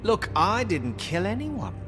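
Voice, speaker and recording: An actor playing a cocky, wisecracking crook in a video game, sarcastic and taunting. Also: male voice, high voice, wildly swooping pitch, a little slow, exaggerated voice. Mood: mocking. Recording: reverberant, loud music behind.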